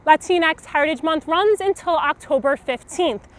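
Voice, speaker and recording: Female host, high voice, scripted links, faint music behind. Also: moderate pace. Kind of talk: scripted show hosting.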